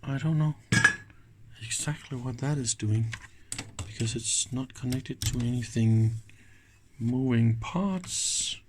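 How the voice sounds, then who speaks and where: Slow-paced man thinking aloud. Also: mid-range voice. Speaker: host of an electronics teardown video. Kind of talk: casual hands-on commentary. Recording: clicks and faint background noise.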